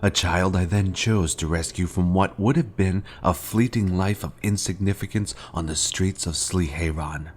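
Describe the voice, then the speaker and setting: An actor playing a menacing fallen Jedi master in a video game, speaking in a solemn, pompous, formal way as a cold former mentor. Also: male voice, low-pitched, fairly even intonation, moderate pace, few pauses, a little hiss or hum, natural voice. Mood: solemn, reproachful. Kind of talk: scripted video game villain dialogue.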